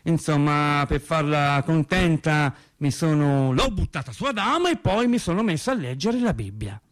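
High-voiced, earnest Italian-speaking factory worker, played by a man. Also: lively intonation, normal speed, close to an everyday voice. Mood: earnest, rambling. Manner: colloquial, emphatic, self-interrupting, repeats himself. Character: earnest, rambling working-class male factory worker recounting his life. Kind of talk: theatrical radio monologue.

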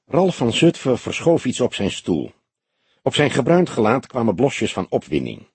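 A man narrates a crime audiobook in a husky voice of middle pitch. His delivery is lively and varied, and the recording is very dry and close. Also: normal speed, no room sound. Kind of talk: scripted literary narration of a crime novel.

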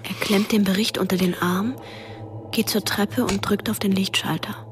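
Actress as watchful ghost girl, medium pitch. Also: steady tone, moderate pace, background noise, close to an everyday voice. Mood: tense. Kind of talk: radio play narration. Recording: studio radio play under loud music.

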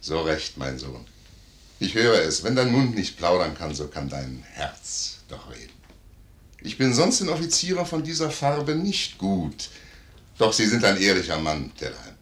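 Deep, hearty fatherly old count. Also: big pitch swings, a little slow, fairly bright tone, heavily acted. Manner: jovial, declamatory. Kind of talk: 1950s German radio play acting. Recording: roomy 1950s radio studio recording.

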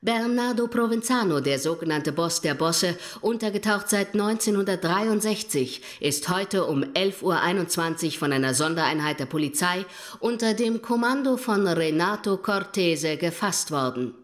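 An actress playing a formal, factual television news anchor reading a measured bulletin in a radio drama. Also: female voice, fairly low pitch, animated, natural voice.

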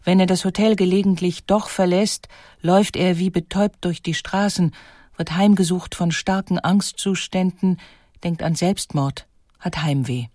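A woman narrating a scripted biographical documentary in a measured, articulate manner. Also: lowish voice, steady tone, dark tone, dry recording, studio quality.

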